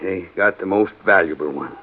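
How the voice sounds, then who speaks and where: An actor playing a wealthy, commanding Southern collector with folksy speech, in mid-century American radio drama style. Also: male voice, low voice, normal speed, natural voice. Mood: brisk, blunt. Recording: noisy, tinny old radio recording.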